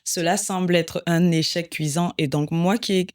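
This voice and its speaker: A woman in her thirties from Cameroon, a podcast guest who talks in a reflective, searching way with many pauses and fillers. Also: fairly low pitch, fairly even intonation. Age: 30s. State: emotional, close to tears. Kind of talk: podcast interview.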